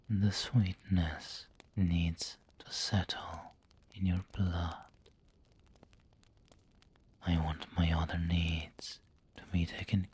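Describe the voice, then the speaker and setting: A man playing a doting, refined vampire lord in an ASMR roleplay, speaking intimately in a breathy whisper with formal wording. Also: deep voice, darkish tone, full character voice.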